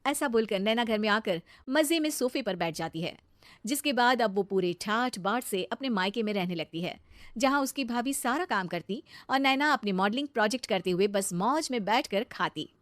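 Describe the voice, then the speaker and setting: A woman's voice: on the high side, animated, moderate pace, no room sound. A woman narrating a scripted cartoon moral story as its storyteller.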